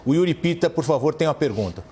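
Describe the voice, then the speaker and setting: A man anchoring a live news interview, speaking gently and courteously as he moderates. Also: fairly high pitch, expressive, fast, a little hiss or hum.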